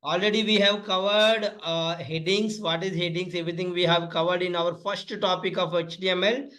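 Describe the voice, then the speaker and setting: High-pitched Indian man teaching. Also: steady tone, moderate pace, fairly bright tone. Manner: instructional, repetitive phrasing, addresses listeners as friends. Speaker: tutorial instructor. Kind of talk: step-by-step screen-reader coding lesson.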